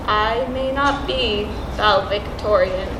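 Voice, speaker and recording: A young woman playing a candid, steady teenage student in a school play, recorded on an echoey stage. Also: mid-range voice, slow pace, background noise, natural voice. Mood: earnest, serious. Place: stage in a large room.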